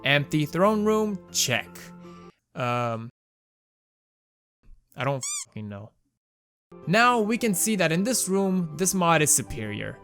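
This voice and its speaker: A man's voice: fairly high pitch, very animated, slow, pauses often, fairly bright tone, faint music behind. A young man with a Romanian accent giving casual, wry, self-mocking commentary as host of a gaming channel. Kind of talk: casual game mod commentary voice-over.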